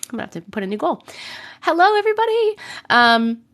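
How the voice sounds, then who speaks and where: A young adult woman live streaming, chatting with viewers and reading stories, her voice a little rough from a cold. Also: very high-pitched, big pitch swings, quick pace, fairly bright tone. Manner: chatty, greets viewers by name between sentences. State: voice a bit rough from getting over a cold. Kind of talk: casual live stream chat and story reading.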